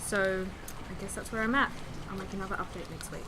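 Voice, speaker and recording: An insecure eighteen-year-old student played by a young woman keeps a video diary in a radio drama. She sounds hesitant and casual, at a medium pitch, with clear room noise behind her. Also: a little fast, natural voice. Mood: self-critical, hesitant. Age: young adult.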